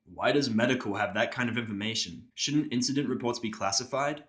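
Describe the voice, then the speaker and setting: A man's voice: mid-range voice, fairly quick, fairly bright tone, natural voice. Irritable, sarcastic male hospital patient, acted by a man. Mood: sceptical. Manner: blunt, cynical, swearing. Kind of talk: first-person audio drama narration and dialogue.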